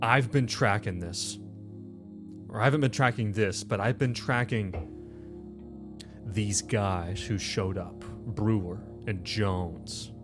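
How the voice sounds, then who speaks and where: A man's voice: on the low side, slow pace, over music. A man voicing a tense, threatening, foul-mouthed woman agent in a tabletop game, clipped and insistent as she smokes. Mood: guarded, insistent. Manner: clipped, insistent, mumbling around a cigarette.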